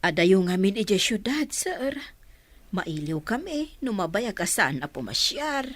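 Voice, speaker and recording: A woman's voice: medium pitch, big pitch swings, a little slow, dry recording. A woman playing a kindly, welcoming mother from the countryside in an audio drama. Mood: respectful, grateful. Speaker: voice actor. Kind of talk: radio-style drama acting.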